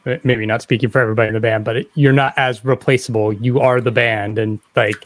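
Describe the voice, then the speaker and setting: A man's voice: medium pitch, fairly even intonation, quick pace, a little hiss or hum. A man hosting a podcast, asking questions in a casual conversational style. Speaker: podcast host, interviewer.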